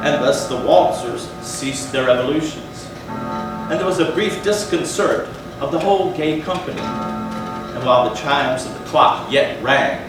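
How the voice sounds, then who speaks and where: A man performing a dramatic stage reading of a gothic tale, with measured, theatrical phrasing and deliberate pauses. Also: fairly high pitch. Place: large echoey room, live stage performance. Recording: echoey hall from far off, noisy.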